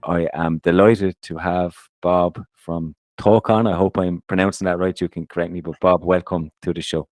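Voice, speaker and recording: A man's voice: deep voice, steady tone, normal speed, few pauses, a little mellow, dry recording, soft background music. A man co-hosting a podcast. He reads a scripted intro, then leads the interview in an easygoing, apologetic way. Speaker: podcast co-host.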